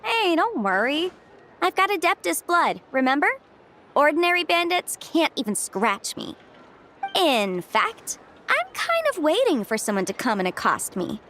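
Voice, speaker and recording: Game role: brisk horned young lawyer girl, lively and high. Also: slight background noise, full character voice. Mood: confident. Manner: brisk, chatty, flustered when dodging questions. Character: young woman legal consultant with adeptal blood and small horns, chatty. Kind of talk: video game character dialogue. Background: game music.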